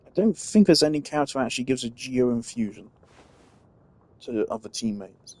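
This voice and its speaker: A man's voice: mid-range voice, expressive, brisk, darkish tone, no room sound. A man giving casual, rambling gaming commentary, thinking aloud as a streamer. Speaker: game streamer.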